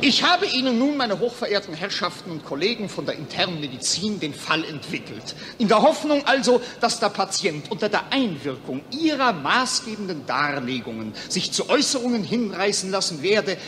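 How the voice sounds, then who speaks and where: A man's voice: sits high, sing-song, moderate pace, few pauses, sharp tone, slight background noise, full character voice. A man acting a pompous, jingoistic psychiatrist presiding over colleagues in a declamatory 1940s radio play style. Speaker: actor. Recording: 1940s radio recording, long hall reverb.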